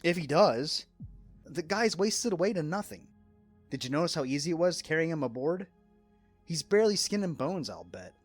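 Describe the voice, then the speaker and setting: Man playing an animated, blunt, skeptical sailor. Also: on the high side, normal speed, no room sound, faint music behind. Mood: skeptical, agitated. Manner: colloquial, blunt. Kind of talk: radio drama dialogue.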